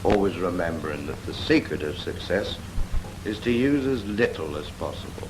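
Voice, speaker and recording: Brief: firm, patient British naval instructor, lowish voice, brisk teaching questions, noisy 1940s film soundtrack. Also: male voice, lively intonation. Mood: instructive. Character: patient, brisk Royal Navy instructor teaching a novice helmsman. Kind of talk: scripted 1940s training film dialogue.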